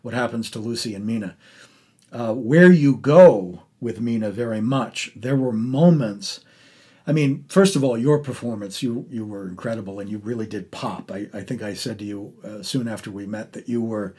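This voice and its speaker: A middle-aged man hosting an interview in a casual, effusive way, rambling and halting as he talks about the arts. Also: fairly low pitch, a little mellow, husky. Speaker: podcast host interviewing an actor. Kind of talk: casual, enthusiastic arts conversation.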